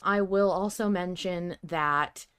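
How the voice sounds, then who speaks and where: A woman co-hosting a podcast, talking casually about a fandom in an animated, emphatic way and swearing freely. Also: lowish voice, slow, smooth. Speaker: podcast co-host. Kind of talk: casual fan discussion.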